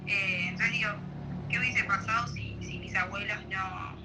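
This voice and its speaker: A woman's voice: medium pitch, steady tone, moderate pace, heavy background noise. A young woman from Argentina answering interview questions sincerely, in a rambling, filler-heavy way.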